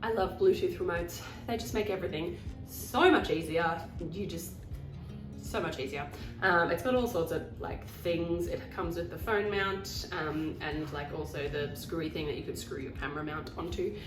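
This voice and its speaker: A woman's voice: fairly low pitch, moderate pace, roomy sound, recorded from a distance, over music, low-quality recording. Nasal, chatty woman with a cold. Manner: chatty and rambling, self-deprecating. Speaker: vlogger. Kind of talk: casual haul vlog.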